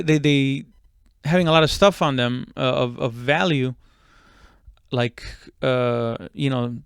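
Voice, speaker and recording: A man's voice: on the high side, smooth, no room sound, studio quality. A man in his thirties from Mexico, a comedian telling a story casually, swearing and interrupting himself as he rambles. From Mexico, moved to the US at seven. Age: 30s. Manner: profane, rambling, self-interrupting. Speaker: stand-up comedian.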